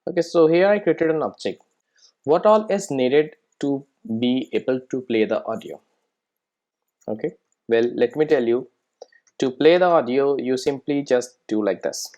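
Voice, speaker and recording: A software tutorial presenter explaining things step by step in an informal way, often checking in with the listener. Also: medium pitch, a little slow, highs cut off.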